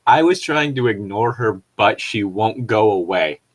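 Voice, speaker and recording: Animated, slow-paced man reading aloud. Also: lowish voice, low-quality recording. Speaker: co-host reading fanfiction aloud. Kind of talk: casual read-aloud with joking asides.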